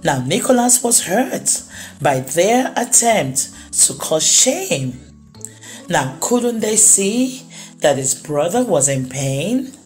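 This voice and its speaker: A woman reading a children's book aloud as a storyteller, expressively and with deliberate, dramatic pauses. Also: fairly low pitch, very animated, darkish tone, faint music behind.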